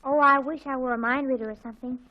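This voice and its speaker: An actress playing an excitable, gushing, overdramatic teenage girl in 1940s radio comedy style. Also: high voice, fast, close to an everyday voice. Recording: dull old 1940s radio recording.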